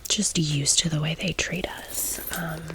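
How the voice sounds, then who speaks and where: A woman with an American accent speaks in a hushed, low-pitched whisper at an unhurried pace. She tells her story like an ASMR host confiding in a friend, rambling into small asides as she goes. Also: fairly even intonation.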